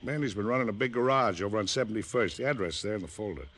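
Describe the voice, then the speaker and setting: A man's voice: lowish voice, expressive, racing, a bit bright, a little hiss or hum. Wisecracking american police lieutenant, old radio drama, voice bounces around a lot, needles his pal. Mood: businesslike. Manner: teasing, sarcastic banter. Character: needling, sarcastic male police lieutenant, gruffly chummy. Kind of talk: old-time radio detective drama acting.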